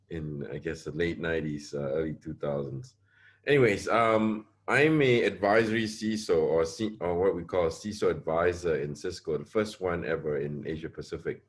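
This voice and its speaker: A middle-aged man with a very deep voice answers a panel question over a video call. He talks in long, loose stretches, stopping and restarting his sentences and doubling his words. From Singapore. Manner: hesitant, many restarts and repeated words. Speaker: panelist, security advisor.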